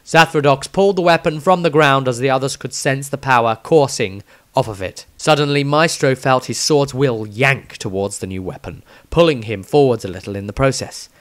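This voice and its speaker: A male storyteller reads scripted fantasy narration with a dramatic delivery. His voice sits at a middling pitch, suited to an audiobook of battles and magic. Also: normal speed. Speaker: author reading his own story.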